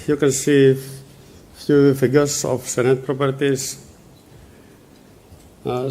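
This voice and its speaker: Middle-aged male presenter, Finnish accent, mellow relaxed tone, measured formal delivery. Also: medium pitch. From Finland. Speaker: conference speaker, senior advisor.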